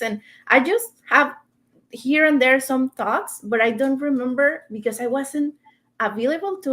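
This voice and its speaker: A woman from Mexico speaking English as her second language with a Mexican accent, talking earnestly as an interview guest and sometimes searching for words. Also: a little high. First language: Spanish. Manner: earnest, searching for words, small grammar slips. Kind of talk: casual interview chat.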